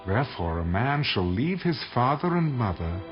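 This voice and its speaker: A man narrating dramatized scripture in a solemn, measured manner. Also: mid-range voice, sing-song. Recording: dull audio under loud music.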